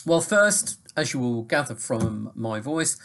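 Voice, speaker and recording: An older English man with a faint Mancunian accent softened by years in the south, giving a deliberate recorded lecture from a home study into a webcam. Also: medium pitch, sing-song, gravelly. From England. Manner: slow, deliberate, explanatory. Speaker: sustainability lecturer.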